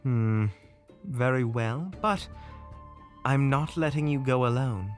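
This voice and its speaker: A man's voice: medium pitch, expressive, takes it slowly, soft background music. Man playing a courteous, lilting guild leader. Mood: weary, firm. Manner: formal, courteous, restrained. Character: polite, weary, dignified guild leader of adventurers. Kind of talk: fantasy audio roleplay acting.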